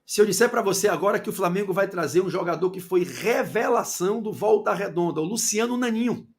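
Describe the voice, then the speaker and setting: A man with a Bahian accent holds forth on football in a fairly high, gravelly voice. He keeps throwing rhetorical questions at the listener and swings from loud outbursts down to low asides. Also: a little fast. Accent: Bahian Portuguese. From Salvador, Bahia, Brazil. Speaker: football commentator. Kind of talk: opinionated football commentary monologue.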